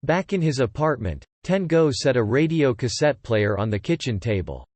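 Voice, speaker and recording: A lively, varied mid-pitched male voice, recorded close and dry. He reads scripted fiction as an audiobook narrator. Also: normal speed, dry recording.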